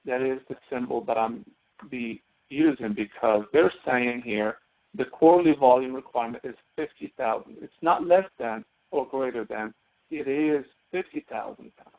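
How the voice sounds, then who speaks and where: A man's voice: mid-range voice, normal speed. A man giving a step-by-step tutorial lecture as an instructor, often asking questions and answering them himself. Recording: narrow phone-like audio.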